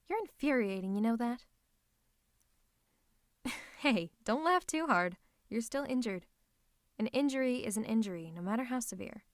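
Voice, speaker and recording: A woman's voice: on the high side, no echo, clean studio sound, natural voice. A woman voicing a theatrical, possessive female supervillain who is secretly a tender lover, in a scripted ASMR roleplay with a dramatic, haughty, teasing manner. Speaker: ASMR voice performer.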